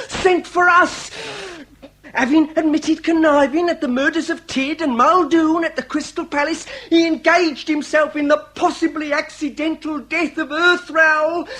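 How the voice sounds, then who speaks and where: Young british detective sidekick, high voice, proper and deferential, spells things out formally, radio comedy. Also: male voice, a bit bright. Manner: precise, formal, methodical recounting. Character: earnest, deferential young male detective's assistant. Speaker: actor in radio comedy drama. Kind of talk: British period radio drama acting.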